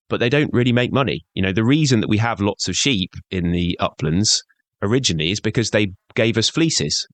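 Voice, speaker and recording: A man's voice: low-pitched, a bit bright, dry recording. A middle-aged man, a conservationist, answering interview questions earnestly, often saying "you know". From born in Scotland, grew up in Devon. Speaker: conservationist, guest.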